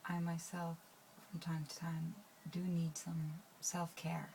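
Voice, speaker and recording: A woman's voice: fairly low pitch, monotone, takes it slowly, vocal fry, darkish tone, a little hiss or hum. A woman, talking to her viewers in a rambling, hesitant way with many fillers and restarts. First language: Swedish. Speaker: vlogger. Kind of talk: casual talk to camera.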